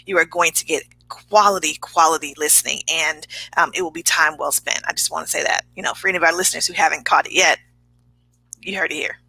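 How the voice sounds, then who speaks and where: A woman podcast host chatting casually in an emphatic, animated way, often repeating herself. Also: low-pitched, fast talker, gravelly, breathy edge, no room sound, low-quality recording. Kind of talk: casual chat.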